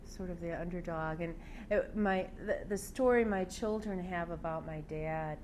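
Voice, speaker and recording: An older woman with a soft voice, reflecting on family memories in an interview, speaking haltingly with many fillers and restarts. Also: fairly low pitch. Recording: clear background noise.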